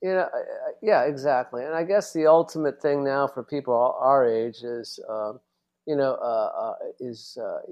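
A man's voice: mid-range voice, normal speed, a little mellow. A middle-aged man bantering casually as a podcast co-host.

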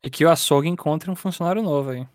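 A man's voice: fairly high pitch, fast, no echo. Laid-back man, dry close sound. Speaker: podcast co-host reading comments. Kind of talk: casual chat, reading listener comments.

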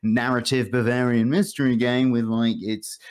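A man hosting a podcast, explaining things casually in a rambling, halting way with asides. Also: mid-range voice, little pitch movement, clear, resonant tone. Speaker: podcast host. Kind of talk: casual video game review chat.

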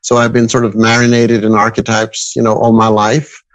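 A man in his fifties from Switzerland speaking as an expert guest in an interview, reflective and halting, repeating phrases for emphasis. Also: on the low side, completely flat delivery, low-quality recording. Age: 50s. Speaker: guest expert, astrologer and coach. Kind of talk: interview conversation.

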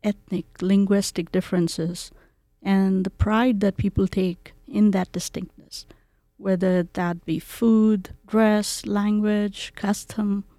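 Woman, measured delivery, slow pace, dry close recording. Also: medium pitch, steady tone, mellow, no echo. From India. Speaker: guest, community advisor. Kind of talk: conversational interview.

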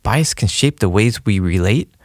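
A man hosting a podcast, reading a scripted introduction in a measured, explanatory way. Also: lowish voice, expressive, a little mellow, dry recording, studio quality. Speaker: podcast host. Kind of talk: scripted narration.

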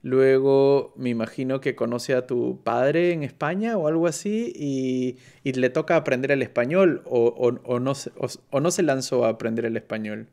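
A man interviewing a guest in a relaxed chat, hesitant and full of fillers and restarts. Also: medium pitch, moderate pace, few pauses. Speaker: interviewer and show moderator.

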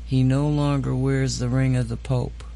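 A man's voice: mid-range voice, level tone, moderate pace, gravelly, mellow. A man hosting a casual podcast chat, rambling and hesitant, full of fillers and restarts. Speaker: host.

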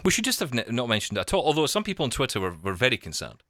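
A man's voice: medium pitch, wildly swooping pitch, very fast, a bit bright, no room sound, studio quality. A man hosting a podcast in casual, bantering chat, with a jokey, self-deprecating manner. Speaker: podcast host, broadcaster.

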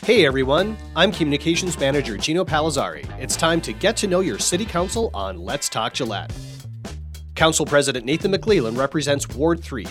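A man's voice: on the high side, fairly bright tone. A man hosting an interview podcast, asking questions in an upbeat, friendly manner. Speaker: podcast host, communications manager.

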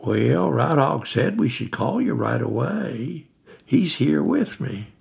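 A man voicing a loud, boisterous male hiking buddy who talks at full phone volume, with a gravelly, husky voice. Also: big pitch swings, slow, full character voice. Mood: eager. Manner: booming, boisterous.

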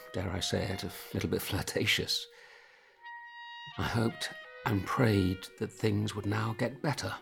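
An actor as a gravelly, weary British husband. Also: male voice, low-pitched, heavily acted. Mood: weary, bitter. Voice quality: husky, gravelly. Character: weary, exasperated, grieving husband telling his tragic story. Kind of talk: dramatic first-person monologue.

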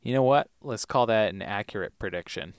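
A man's voice: on the low side, a little fast, clean tone, no room sound, studio quality. A man co-hosting a podcast, chatting casually with wry, playful jokes. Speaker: podcast co-host. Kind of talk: casual joking chat.

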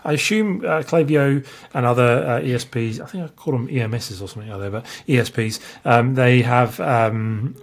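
A man's voice: mid-range voice, normal speed, vocal fry, few pauses. A man hosting a podcast, asking questions in a conversational interview style. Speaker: podcast host.

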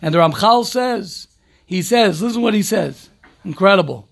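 A man's voice: sits high, lively intonation, moderate pace, vocal fry. A rabbi giving an informal talk to yeshiva students, emphatic and repeating key phrases, often asking and answering his own questions. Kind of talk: informal Torah talk to a class.

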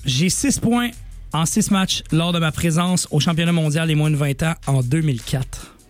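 A man's voice: a little high, moderate pace, soft background music. A man with a Quebec French accent hosting a sports panel, reading hockey quiz clues in the first person with a playful manner. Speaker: quiz host.

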